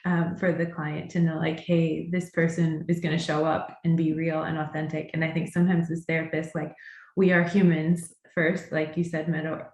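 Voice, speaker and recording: A woman's voice: deep voice, little pitch movement, normal speed, a little mellow, reverberant. A woman therapist speaking earnestly and reflectively on a panel, second-generation American with English as her first language. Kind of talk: panel discussion.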